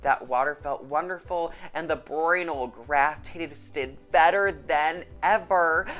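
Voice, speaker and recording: A woman reading a children's storybook aloud in an animated storytelling style. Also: deep voice, takes it slowly, faint music behind.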